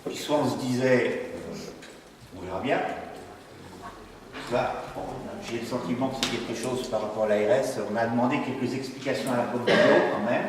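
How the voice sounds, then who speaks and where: A man's voice: medium pitch, normal speed. A man chairing a council meeting, speaking informally off the cuff and often rephrasing himself. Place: large echoey meeting room. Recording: echoey hall, distant mic, background noise.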